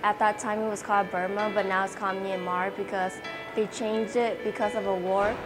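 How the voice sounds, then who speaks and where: A woman's voice: mid-range voice, flat delivery, brisk. A young woman from Thailand, telling her family's story plainly. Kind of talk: personal interview testimony. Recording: music bed under the voice.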